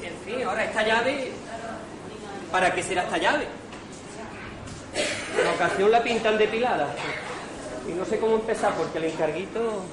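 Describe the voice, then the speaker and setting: A man's voice: sits high, normal speed, pauses often, natural voice. A man playing a hapless, chatty servant, hesitant and bumbling, in an amateur radio farce. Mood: bewildered. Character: hapless, chatty servant Román posing as gentleman. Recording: echoey, noisy amateur recording.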